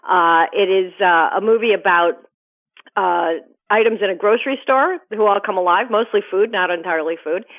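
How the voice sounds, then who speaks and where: A woman giving brisk, enthusiastic movie reviews as a guest calling in by phone. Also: mid-range voice. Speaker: film critic guest. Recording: tinny phone line.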